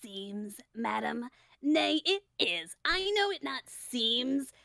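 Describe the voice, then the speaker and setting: A woman voicing a brooding young prince in a comic Shakespeare read-aloud, with drawn-out delivery. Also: on the high side, sing-song, creaky, pushed and bright, dry recording, studio quality, heavily acted. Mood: brooding, wry. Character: brooding young Danish prince Hamlet, played for laughs.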